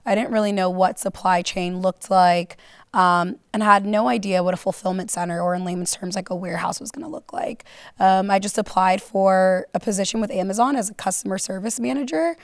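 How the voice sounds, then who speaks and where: A woman from Florida talks as a guest on a conference panel, middle-pitched and dry. She tells her story at length and fills her pauses with ums. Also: fairly even intonation, dry recording. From Florida, United States. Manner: talkative, storytelling with fillers. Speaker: panel guest, operations manager. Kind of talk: conversational stage panel. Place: conference stage.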